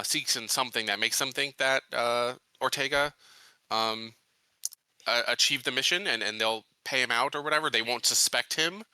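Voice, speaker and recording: A man talks casually as a player in a tabletop game. He explains himself in a halting way. His voice is very bright and forward, recorded dry with no room sound. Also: mid-range voice, no echo.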